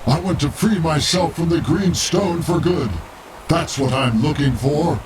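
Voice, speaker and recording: A man's voice: on the high side, unhurried, close to an everyday voice. Man as a bitter, menacing cartoon creature, noisy background. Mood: bitter, scornful. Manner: scornful, dismissive. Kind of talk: video game cutscene acting.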